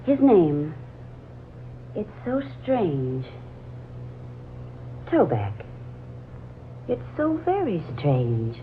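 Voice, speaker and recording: A woman's voice: sits low, huge pitch swings, very slow, pauses often, heavily acted. An actress playing a wistful, troubled young woman in a hesitant, dreamy 1940s radio drama style. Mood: wistful, puzzled. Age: young adult. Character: wistful, troubled 23-year-old woman drawn to a stranger. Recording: muffled, noisy old radio drama recording.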